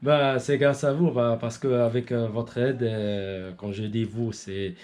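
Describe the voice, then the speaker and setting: A man's voice: mid-range voice, fairly even intonation, takes it slowly, a little mellow. A man from Morocco, an amateur radio operator giving an informal technical demonstration while searching for words.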